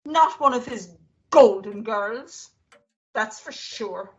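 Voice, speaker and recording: A woman's voice: fairly high pitch, animated, slow, dull audio. A woman playing a put-upon, resentful but devoted worker in a rueful, grumbling radio-play monologue.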